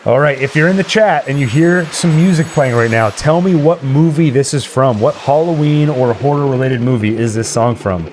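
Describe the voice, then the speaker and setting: A man's voice: mid-range voice, normal speed, hardly any pauses, darkish tone, a little hiss or hum. A man hosting a livestream party, chatting casually, reading out the chat and running trivia. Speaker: livestream party host and quizmaster.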